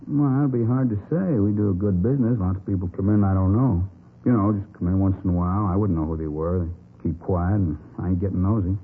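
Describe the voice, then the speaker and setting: An actor playing a nervous, streetwise American bar owner in a radio drama, talking in emphatic, defensive slang. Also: male voice, low-pitched, fast talker, breathy edge. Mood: evasive. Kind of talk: 1950s radio drama acting. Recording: tinny, noisy vintage radio recording.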